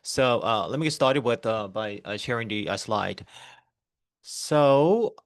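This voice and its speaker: A man's voice: on the low side, expressive, takes it slowly, no room sound, clean studio sound. A man in his thirties with a Korean accent, giving an online business presentation with many fillers and restarts. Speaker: network marketing presenter.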